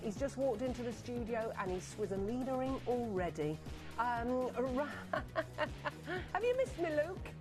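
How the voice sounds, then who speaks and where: A woman presenting live on a TV shopping channel, chatty and excitable, rattling off buyer names and sounding a little sniffly from a cold. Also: on the high side, a little slow, music in the background. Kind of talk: live on-air sales pitch. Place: TV studio.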